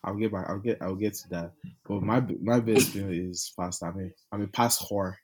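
A man's voice: deep voice, steady tone, normal speed, noisy background. A young man with a Nigerian accent co-hosting a podcast, chatting casually in a playful, teasing way and laughing often. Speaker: podcast co-host. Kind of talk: casual chat.

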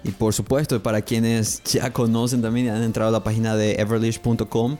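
A man, hosting a podcast English lesson and repeating phrases slowly and carefully for learners. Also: fairly low pitch, flat delivery, mellow, faint music behind. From Paraguay. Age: 30s. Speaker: English teacher, podcast host.

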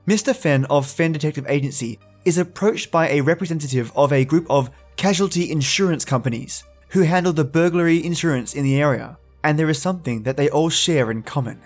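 A man's voice: medium pitch, brisk, darkish tone. Male podcast host over music. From Australia. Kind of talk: friendly scripted podcast introduction.

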